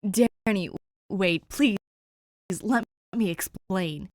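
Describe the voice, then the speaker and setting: A woman's voice: mid-range voice, drawn-out words, darkish tone, dry recording, clean studio sound. A woman voicing a flustered young man who stammers and rambles as he pleads over the phone. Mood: pleading.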